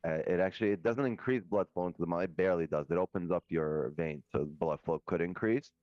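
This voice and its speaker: A man's voice: low-pitched, quick pace, dry recording. A man co-hosting a live stream in casual banter, brash and cocky, swearing freely. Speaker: co-host on a live trading stream. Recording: dull lo-fi sound.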